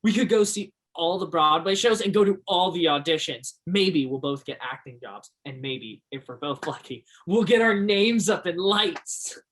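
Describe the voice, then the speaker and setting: An actor in a stage play as an earnest, romantic teenage high school boy with a theatrical way of talking. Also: normal speed, hardly any pauses, fairly bright tone. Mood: hopeful, eager.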